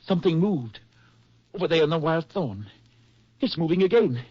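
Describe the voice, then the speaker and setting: A man's voice: on the high side, expressive, husky. Haunted man, muffled old radio drama. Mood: uneasy searching. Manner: tense, halting, anguished. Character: haunted, fearful man with a broken mind. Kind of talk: 1940s radio drama acting. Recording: dull 1940s radio recording with music.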